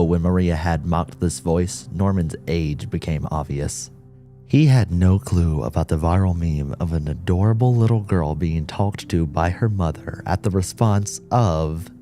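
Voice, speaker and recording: A man's voice: low voice, unhurried, warm tone, soft background music. A man reading a scripted story as an audiobook narrator.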